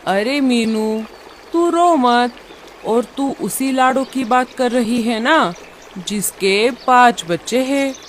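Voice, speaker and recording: A woman's voice: a little high, slow, a little hiss or hum, pitched above the performer's own voice. A woman voicing a shrewd, motherly old female bird in a cartoon, reassuring and full of instructions. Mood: concerned. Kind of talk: cartoon dialogue. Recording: music under the voice.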